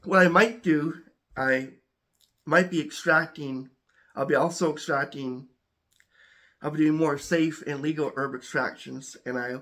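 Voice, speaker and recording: A man hosting a home chemistry channel talks casually to camera, a little congested, halting with many restarts and repeated words. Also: a little high, fairly even intonation, sharp tone.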